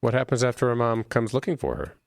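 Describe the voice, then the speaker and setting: An actor playing a police officer questioning a murder suspect in an audio drama, terse and probing. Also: male voice, medium pitch, big pitch swings, fast talker, creaky, dry recording. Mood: probing, restrained.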